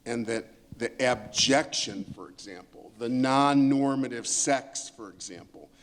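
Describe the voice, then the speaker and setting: A man's voice: medium pitch, a little slow. Emphatic man lecturing on a panel. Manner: emphatic, lecturing, repeats phrases as he builds a point. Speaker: panelist, poetry expert. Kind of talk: panel discussion, thoughtful commentary.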